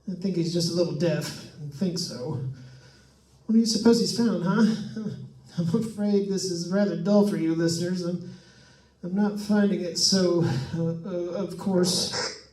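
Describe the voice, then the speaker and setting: A man acting out a nervous radio host trapped in a haunted house, with a high-pitched voice. He talks slowly, stammering and rambling with forced cheer in old-time suspense drama fashion. The sound is echoey and distant from the microphone. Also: steady tone, darkish tone. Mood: uneasy.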